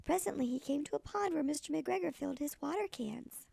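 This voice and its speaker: A woman voicing a tiny old granny who reads a children's storybook expressively in a gravelly voice. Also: high voice, expressive, fairly quick, warm tone, dry recording, heavily acted. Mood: storytelling. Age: older. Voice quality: creaky, gravelly.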